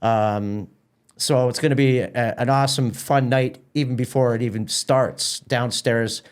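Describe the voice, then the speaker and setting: A man's voice: medium pitch, steady tone, unhurried, clear, resonant tone. A man with a mid-range voice talks as a guest in a casual interview. He sounds like an organiser promoting an event informally. He often repeats words and doubles back mid-sentence.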